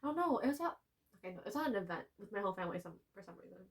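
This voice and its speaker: A young woman co-hosting a podcast, telling stories in animated casual chat. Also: mid-range voice, rapid-fire. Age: young adult. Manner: animated storytelling with frequent laughs. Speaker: podcast co-host.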